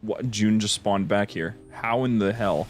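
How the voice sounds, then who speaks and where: A man with a fairly low voice talks casually as he streams a video game. He is chatty and jokey, given to excitable exclamations. Game music plays faintly behind him. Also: measured pace. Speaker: livestream host playing a game. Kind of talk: casual gaming commentary.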